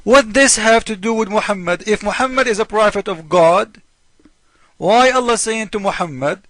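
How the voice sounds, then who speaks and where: A high-pitched man with non-native English delivers informal religious polemic in a dry, close sound. He is mocking and theatrical, repeating his points and playing both sides of an argument. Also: normal speed, fairly bright tone, dry recording. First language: not English. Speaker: host of a religious polemic video. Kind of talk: informal polemical commentary on scripture.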